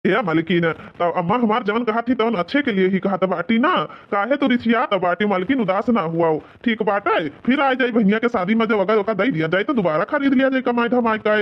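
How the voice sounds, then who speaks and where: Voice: medium pitch, expressive, fairly quick, hardly any pauses, lo-fi sound, close to an everyday voice. A performer voicing an agreeable, reassuring village family man in an Awadhi cartoon comedy, with a coaxing manner and an Awadhi accent. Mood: soothing.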